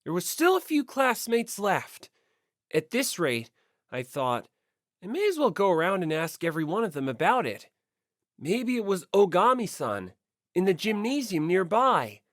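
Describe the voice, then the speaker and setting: Woman, audiobook narrator, first-person storytelling, animated intonation, mid pitch, dry close sound. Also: moderate pace, dry recording.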